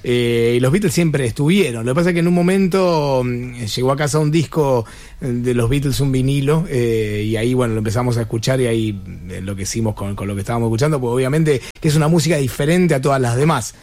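An Argentine man answers casually as a musician in an interview. He talks quickly, in a mid-pitched voice, in an informal conversational way. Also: a little mellow. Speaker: musician in a tribute band, interview guest.

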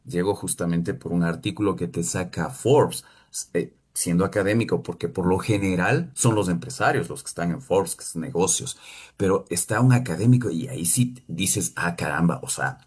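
A man's voice: sits low, moderate pace, darkish tone, husky. A man hosting an interview, asking long, winding questions full of filler words. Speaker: interviewer, host.

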